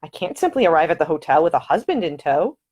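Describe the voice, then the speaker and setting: Woman voicing a lively, quick-witted young lady. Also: deep voice. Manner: brisk, quick-witted, playfully dramatic. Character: spirited, witty young woman traveler.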